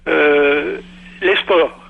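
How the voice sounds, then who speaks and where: Male caller, husky and lecturing, haughty tone, heard over a dull lo-fi radio line. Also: a little high, steady tone, very slow. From Europe. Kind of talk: phone-in radio debate.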